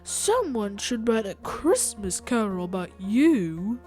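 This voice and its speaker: A woman's voice: medium pitch, sing-song, slow pace, warm tone, husky, soft background music, full character voice. A woman voicing a haughty, disdainful candy cane with a drawl in a storybook reading. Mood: dismissive.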